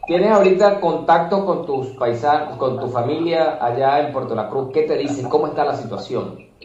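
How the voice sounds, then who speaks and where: A man's voice: fairly high pitch, moderate pace, a little mellow. A man from Venezuela with a Venezuelan accent, reporting and interviewing as a radio correspondent. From Guárico, Venezuela. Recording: echoey, muffled, noisy remote line.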